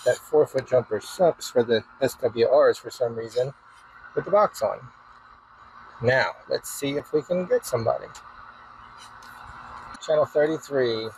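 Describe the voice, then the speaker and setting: A man's voice: medium pitch, unhurried, long pauses, rough recording. A man giving a casual, hands-on demonstration as a hobbyist video host, with informal, folksy wording. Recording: clear background noise, ambient sound.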